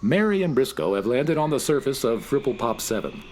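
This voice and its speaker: A man's voice: medium pitch, big pitch swings, a little fast, a little mellow. A man narrating a scripted children's radio adventure in a storybook manner, speaking straight to young listeners.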